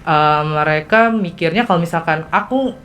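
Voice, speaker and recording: A teenage girl with a Jakarta Indonesian accent hosting a student podcast in a casual, halting, conversational way. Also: on the low side, a bit bright. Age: teens. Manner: halting, hesitant delivery with repeated self-interruptions.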